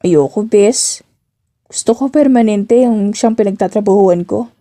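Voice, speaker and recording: A woman with a Filipino accent voicing an eager, playful young jobseeker in an audio drama, casual and expressive. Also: medium pitch, measured pace, natural voice. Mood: pouty.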